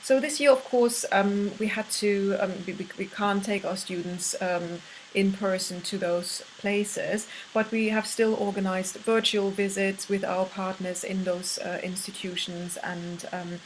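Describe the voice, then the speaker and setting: A woman from Germany with a German accent speaks as a university lecturer, giving an informal course presentation in a halting way with frequent pauses. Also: mid-range voice, fairly even intonation, a little hiss or hum, rough recording. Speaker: university art history lecturer.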